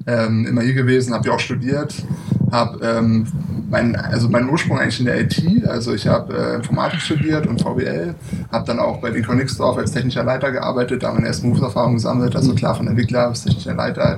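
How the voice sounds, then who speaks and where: A man from Berlin, a startup founder, speaking as an interview guest in a relaxed, hesitant way with many fillers. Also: mid-range voice, fast, vocal fry. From Berlin, Germany. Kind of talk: casual interview talk. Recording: distant mic with loud background noise.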